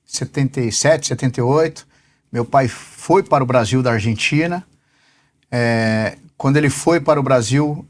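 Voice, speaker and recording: A man in his forties, a business director being interviewed, answering in an unhurried way and pausing often on fillers. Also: a little high, a little hiss or hum. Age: 40s. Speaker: podcast guest, company sales director.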